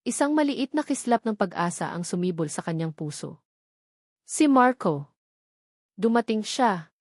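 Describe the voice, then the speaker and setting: A woman with a Filipino accent reading a scripted dramatic story in a measured, emotive way, in short weighted phrases. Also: mid-range voice, expressive, a little mellow, no echo, clean studio sound. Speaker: story narrator.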